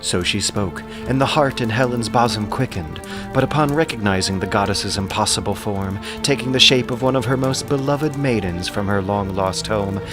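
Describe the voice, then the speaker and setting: Low-voiced man narrating an epic over music. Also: moderate pace. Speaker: audiobook narrator. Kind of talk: dramatic scripted narration of ancient epic.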